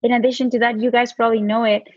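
A woman's voice: on the high side, flat delivery, racing, no echo, dull audio. A woman with a Mexican accent, a guest on a podcast, talking casually and filling her speech with "like". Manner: chatty, many "like" fillers. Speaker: guest, brand co-founder.

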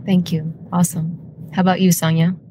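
A woman's voice: on the low side, level tone, normal speed, dark tone, a little hiss or hum. Upbeat woman hosting a podcast. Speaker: podcast host. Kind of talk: scripted intro then interview questions.

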